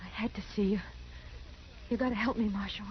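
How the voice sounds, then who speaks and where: An actress playing a frightened frontier wife in a radio western, pleading in an urgent, breathless way with rural phrasing. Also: female voice, medium pitch, fairly even intonation, fairly quick, natural voice. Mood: desperate, pleading. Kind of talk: 1950s radio western acting. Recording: muffled, faintly hissy old radio recording.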